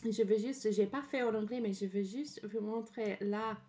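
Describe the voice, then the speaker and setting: A woman's voice: medium pitch, fairly even intonation, normal speed, mellow. An Englishwoman with an English accent, speaking French as a streamer in casual commentary, hesitant with many fillers and English words slipped in. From England. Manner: hesitant, frequent "euh" fillers, mixes in English words, laughs often.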